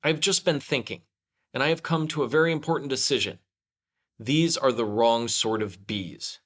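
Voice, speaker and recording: A man's voice: mid-range voice, normal speed, a bit bright, pitched above the performer's own voice, close to an everyday voice. A man voicing an earnest, solemn, slightly foolish toy bear in a children's storybook. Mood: decisive. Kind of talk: children's storybook read-aloud.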